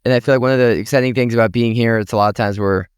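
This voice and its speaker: A man's voice: mid-range voice, fairly even intonation, very fast, clear, resonant tone, no room sound, clean studio sound. A man hosting a podcast, interviewing a guest with friendly prompting questions. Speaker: podcast host. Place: conference exhibition booth.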